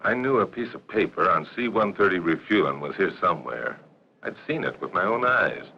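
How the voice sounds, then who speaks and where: An actor playing a harried Air Force sergeant, explaining himself defensively in a 1960s training film. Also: male voice, deep voice, animated, fairly quick, gravelly. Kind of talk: scripted first-person reenactment in 1960s training film. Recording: dull, noisy 1960s film soundtrack.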